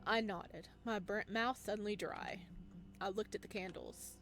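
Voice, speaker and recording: A woman game streamer doing playthrough commentary and reading narration aloud in an expressive, reactive way. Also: mid-range voice, normal speed, soft background music.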